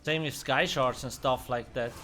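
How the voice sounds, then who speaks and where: A man's voice: medium pitch, fairly even intonation, normal speed, music in the background. A man whose first language is Swiss German, streaming a game with loose, profane commentary and muttered reactions between chat. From Switzerland. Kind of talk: casual gameplay commentary.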